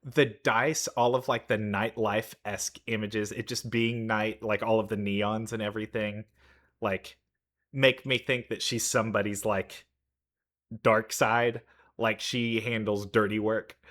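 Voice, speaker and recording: A man's voice: on the low side, fairly even intonation, a little slow, a bit bright. A man giving casual reaction commentary on game trailers, thinking aloud in loose, informal sentences. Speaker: reaction video host. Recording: music playing behind the voice. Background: game trailer music.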